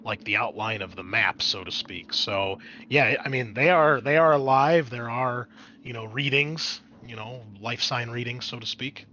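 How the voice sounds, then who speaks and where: A man's voice: mid-range voice, moderate pace, sharp tone, lo-fi sound, a little hiss or hum. A man narrating a tabletop roleplaying game as game master, in an explanatory, conversational way.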